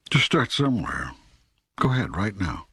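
A very deep, husky God speaks as a patient teacher in an audiobook. He is calm and authoritative, placing each phrase deliberately in a close, dry recording. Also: no echo, full character voice. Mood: encouraging. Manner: measured, deliberate, emphatic phrasing with pauses. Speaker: audiobook narrator. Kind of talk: scripted audiobook dialogue reading.

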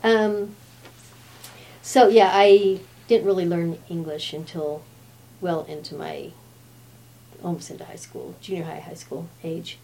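Chatty woman with a low voice. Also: steady tone, measured pace, long pauses, dark tone, slight background noise, rough recording. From Brazil. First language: Portuguese. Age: 50s. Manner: chatty, laughs often. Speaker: guest. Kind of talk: casual chat.

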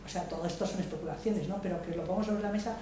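A woman's voice: fairly low pitch, steady tone, rapid-fire, warm tone. Older woman in an echoey hall. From Madrid. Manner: reflective, hesitant, many restarts and repeated words. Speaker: debate speaker. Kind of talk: spontaneous political talk at a public debate. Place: large hall, public debate session. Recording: echoey room, voice from far off, faint noise.

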